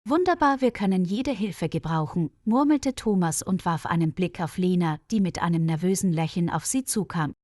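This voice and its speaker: Expressive female storyteller. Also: lowish voice, normal speed, hardly any pauses, no room sound, studio quality. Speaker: narrator of a language-learning audio story. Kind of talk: scripted story narration for learners.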